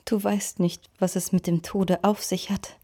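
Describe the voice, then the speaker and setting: A dying sinner in a radio play, dark husky voice, whispery, weak, confessing and solemn. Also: female voice, mid-range voice, flat delivery, unhurried. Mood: remorseful, fearful. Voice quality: breathy, husky, often whispering. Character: dying, remorseful, God-fearing poisoned woman. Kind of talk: radio drama acting.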